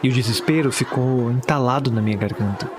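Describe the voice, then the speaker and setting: A man's voice: mid-range voice, brisk, a crowd in the background, natural voice. A man with a Brazilian accent playing a terrified witness who recounts a horror story in the first person, dramatic and anguished. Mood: tense dread.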